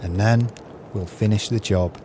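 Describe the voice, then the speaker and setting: An actor playing a gleeful, crooked swindler with a sly, florid, falsely friendly manner in an audio play. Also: male voice, fairly low pitch, fairly even intonation, normal speed, background noise, natural voice. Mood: sly scheming.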